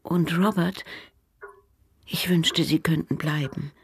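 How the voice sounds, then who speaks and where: An actress playing a frail, gentle, dying elderly mother in a radio drama, with a weak, breathy voice and a wistful, pleading manner. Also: deep voice, fairly even intonation, slow, dry recording, exaggerated voice.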